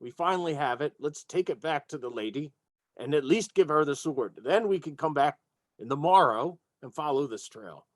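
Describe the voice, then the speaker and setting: A man's voice: a little high, lively intonation, moderate pace, dry recording, natural voice. A man voicing a grave, weary dwarf adventurer with formal, old-fashioned phrasing in a tabletop game.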